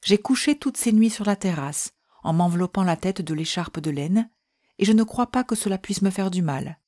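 A woman reading historical letters aloud in a measured, gentle way. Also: mid-range voice, lively intonation. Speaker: reader.

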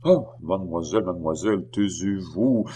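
A man voicing a calm, mocking gentleman stranger, politely ironic, in a storybook reading. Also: medium pitch, measured pace, a little hiss or hum. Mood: dryly mocking.

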